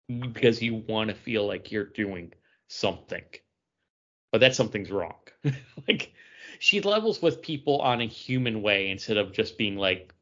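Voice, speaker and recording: Low-voiced man in casual podcast talk. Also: fairly bright tone. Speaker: podcast co-host reviewing comics. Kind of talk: casual panel discussion. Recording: dull lo-fi audio.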